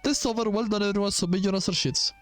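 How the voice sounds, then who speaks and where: A man voicing a strict high school exam proctor in a video game, giving firm, commanding classroom instructions. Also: fast talker, soft background music, natural voice. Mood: stern, commanding.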